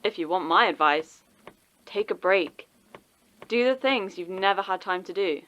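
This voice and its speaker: A woman acting a caring but wounded friend, a schoolteacher, in an audio drama, gently persuasive and wry. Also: mid-range voice, expressive, unhurried, highs cut off. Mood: concerned.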